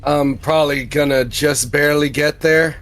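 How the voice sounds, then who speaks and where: A man's voice: a little high, fairly even intonation, normal speed, fairly bright tone. A man roleplaying in a tabletop game, with somewhat formal, wordy phrasing.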